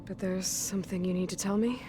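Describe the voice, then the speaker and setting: A woman's voice: mid-range voice, steady tone, fairly quick, lightly acted. A woman voicing a determined young woman hunter in a video game, speaking directly and probing. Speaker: game protagonist. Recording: game cutscene with music behind.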